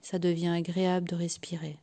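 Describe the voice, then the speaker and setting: A woman leading a guided yoga nidra relaxation in a soft, sometimes breathy voice, soothing and unhurried, leaving long pauses between short phrases. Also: fairly low pitch, flat delivery. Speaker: guided meditation and sophrology practitioner.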